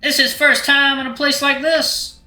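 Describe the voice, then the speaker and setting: A man's voice: very high-pitched, little pitch movement, moderate pace, pushed and bright, pitched above the performer's own voice, heavily acted. A man voicing a cheeky, sly animal market trader in a visual novel, with a folksy Southern US accent. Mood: cheeky salesman. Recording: roomy echo with music behind.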